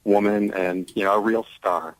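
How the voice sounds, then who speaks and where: A man's voice: on the low side, fairly even intonation, normal speed, dry recording, poor audio. A middle-aged American man from the Chicago area, an actor telling anecdotes as a radio guest, effusive and dramatic in his emphasis. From Chicago area, United States. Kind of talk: radio interview, anecdotal storytelling.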